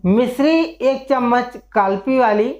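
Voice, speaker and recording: A man who works as a traditional herbal healer, explaining a home remedy in a slow, repetitive way and listing one ailment after another. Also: very high voice, a little mellow. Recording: dull lo-fi sound.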